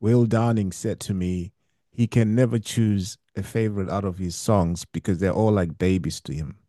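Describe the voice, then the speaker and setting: A man hosting an interview, asking measured questions and answering with short, calm affirmations. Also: lowish voice, dry recording, studio quality. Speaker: interview host.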